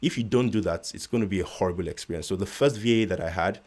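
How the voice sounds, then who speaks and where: A Nigerian-accented man with a strikingly deep, low-pitched voice. He talks through his work as an interview guest in a measured, thoughtful way. He stumbles and restarts his sentences now and then. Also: darkish tone. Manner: measured, with frequent restarts and stutters. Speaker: guest, real estate investor. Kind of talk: casual interview conversation.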